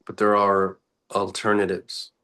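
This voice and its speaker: A man speaking as a meditation teacher, guiding reflectively and unscripted in short, contemplative phrases with long pauses. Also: sits low, completely flat delivery, sharp tone.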